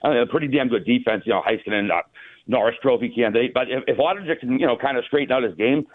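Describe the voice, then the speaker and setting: Fast-talking husky man on phone-like line. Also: mid-range voice, dry recording. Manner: rambling, casual, informal. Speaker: sports talk host. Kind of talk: casual unscripted hockey talk. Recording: tinny narrow phone-like line.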